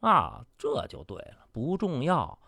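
A man's voice: on the high side, wildly swooping pitch, slow pace, vocal fry, pushed and bright, dry recording, studio quality, exaggerated voice. A man playing a bullying, cynical media boss in a radio drama, domineering and condescending, swearing and badgering with questions. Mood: smug, instructing.

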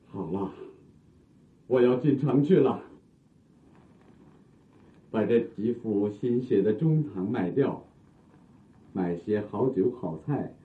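An actor playing an elderly, proud and affectionate scholar in a theatrical Chinese radio drama, speaking in exclamatory, old-fashioned literary phrases. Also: male voice, fairly low pitch, animated, slow pace, pauses often, husky, full character voice. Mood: weary, resolute. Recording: muffled, narrow phone-like sound.

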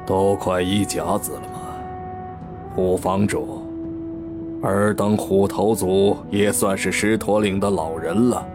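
A man acting a mighty, stern demon king in an audio drama, speaking with slow, weighty authority. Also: low voice, steady tone. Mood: measured, probing. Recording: music behind the voice. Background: music.

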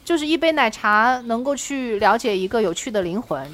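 A woman's voice: a little high, lively intonation, fairly quick, fairly bright tone. A woman co-hosting a podcast in a casual, effusive, conversational way. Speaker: podcast co-host. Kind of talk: casual chat.

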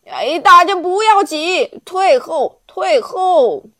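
A woman's voice: very high-pitched, sing-song, slow pace, pushed and bright, no echo, clean studio sound, voice pushed up, heavily acted. A woman voicing a poor, frightened old onion father who pleads and cries out in panic. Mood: panicked.

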